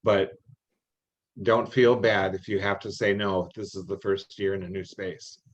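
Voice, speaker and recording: An older man answering questions in an informal meeting, explaining rules in a halting, anecdotal way. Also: low-pitched, flat delivery. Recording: dull, lo-fi online call audio.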